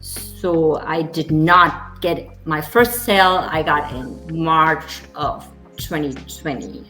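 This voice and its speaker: A woman's voice: sits low, slow, pushed and bright, roomy sound, faint music behind. A woman from Germany with a German accent, chatting casually as a real estate agent guest, full of "you know" fillers. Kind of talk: casual interview chat.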